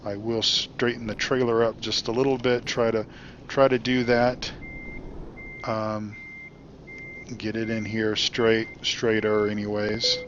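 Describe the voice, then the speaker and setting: A man's voice: fairly low pitch, level tone, slow, a bit bright, highs cut off. Rambling man over truck engine noise. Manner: rambling, many ums and uhs. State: losing his voice. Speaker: gaming channel host. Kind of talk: casual commentary while playing. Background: truck engine and road sounds.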